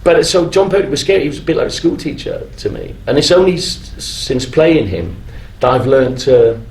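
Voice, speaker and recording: A middle-aged man chatting casually as a panel guest, halting and often restarting his sentences in an informal way. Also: on the high side, lively intonation, darkish tone. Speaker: guest actor on a fan convention panel. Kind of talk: casual live panel chat. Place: convention stage in a hall. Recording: echoey live stage recording, faint noise.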